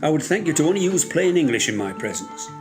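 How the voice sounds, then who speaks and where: A man plays a stern old man in an audio drama, a grumpy regular who talks in curt, formal phrases. His voice sits fairly high for a man and swings up and down with disapproval. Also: very animated, fast talker, music in the background. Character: grumpy, unsmiling old male café regular, pedantic about English. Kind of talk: amateur audio drama acting.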